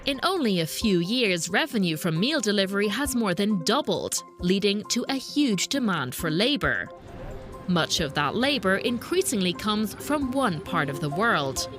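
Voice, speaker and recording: Female news narrator, measured scripted read, music bed underneath. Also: mid-range voice, very animated. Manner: measured, explanatory. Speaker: reporter and narrator.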